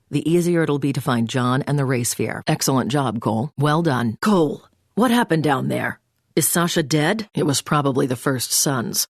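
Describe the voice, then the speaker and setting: An actress playing a commanding, businesslike female intelligence handler in a video game, issuing brisk field orders. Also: extremely low pitch, no room sound, clean studio sound, exaggerated voice. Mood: serious, probing. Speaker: mission handler. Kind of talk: scripted video game voice acting.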